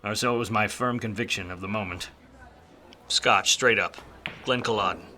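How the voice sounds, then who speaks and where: A hard-boiled 1940s gumshoe, acted by a man, in a noir radio play. The voice is lowish with a sharp, forceful edge, and he talks in wry, self-loathing slang. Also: moderate pace, slight background noise. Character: weary, wisecracking, self-loathing 1940s private detective. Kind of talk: film noir radio play acting and narration.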